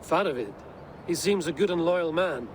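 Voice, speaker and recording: Proud Viking warrior, steady and plain-spoken, fairly high voice, a man acting. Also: moderate pace, a little hiss or hum, lightly acted. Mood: earnest. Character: bold young Viking warrior hero.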